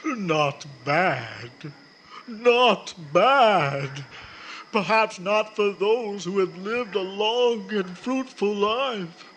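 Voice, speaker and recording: A man's voice: sits high, expressive, very slow, a bit bright, a little hiss or hum, full character voice, rough recording. A man playing a weary, sorrowful Grim Reaper in a radio drama, speaking in mournful, archaic, theatrical phrases. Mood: gloomy, weary. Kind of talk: old-time radio drama acting.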